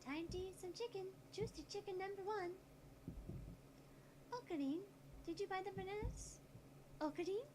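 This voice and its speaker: A woman's voice: very high voice, normal speed, long pauses, warm tone. A woman voicing a sweet, ditzy teenage girl in a visual novel game, with a childlike, artless manner and some background noise. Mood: cheerful, curious.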